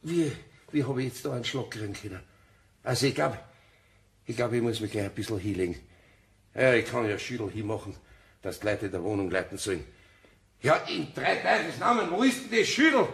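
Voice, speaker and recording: A man's voice: mid-range voice, lively intonation, fairly quick, vocal fry, long pauses, a bit bright, slight background noise. An actor playing an exasperated master craftsman in a radio play, with a Bavarian accent, stammering and repeating himself in agitation. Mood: bewildered, rattled. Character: flustered, exasperated male craftsman, master wood turner. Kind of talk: German radio play acting.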